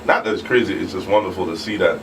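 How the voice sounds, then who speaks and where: A man chatting casually on a radio talk show in a halting way, restarting his phrases. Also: sits low. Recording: distant mic, loud air-conditioning hum.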